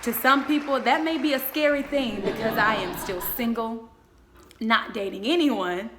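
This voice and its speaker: A woman in her twenties giving a motivational faith talk, speaking in an emphatic, exhorting way with deliberate repetition. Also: on the high side, noisy background. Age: 20s.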